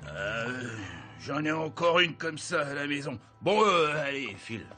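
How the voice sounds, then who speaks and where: A man's voice: a little high, big pitch swings, a little slow, bright, forceful tone, soft background music, exaggerated voice. A man voicing a stern, suspicious school staffer who is easily baffled, in a French-dubbed cartoon.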